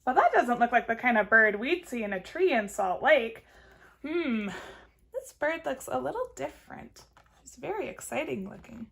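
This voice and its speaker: A woman kindergarten teacher reading aloud to young children, expressive and encouraging, stopping to ask simple questions. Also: high-pitched, normal speed, vocal fry.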